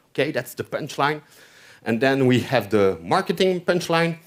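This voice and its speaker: A man with a French accent giving a chatty conference tech talk, often checking in with the audience with "okay?". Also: medium pitch. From France. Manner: chatty, keeps asking "okay?". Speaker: conference speaker. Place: conference hall stage.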